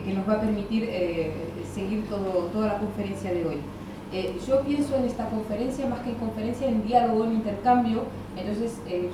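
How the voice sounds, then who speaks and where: A woman from France giving a university lecture in Spanish with a mixed French, Spanish and Buenos Aires accent, hesitant with many fillers and wry asides. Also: mid-range voice. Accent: mixed French-Spanish with a touch of Buenos Aires. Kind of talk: academic lecture to an audience. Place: large lecture hall. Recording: echoey hall from far off, audible room noise.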